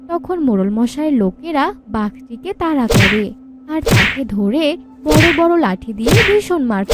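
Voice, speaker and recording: A woman reading scripted narration for a children's story. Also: sits high. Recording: music under the voice. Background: music.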